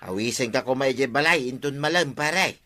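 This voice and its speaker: Forceful, wheedling Ilocano village husband. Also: male voice, mid-range voice, lively intonation, moderate pace, faint music behind. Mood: coaxing, chummy. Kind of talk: Ilocano radio comedy drama acting.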